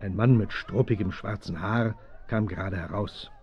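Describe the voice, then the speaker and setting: A man's voice: on the low side, normal speed, highs cut off, a little hiss or hum, lightly acted. A man narrating a children's detective radio play as the storyteller.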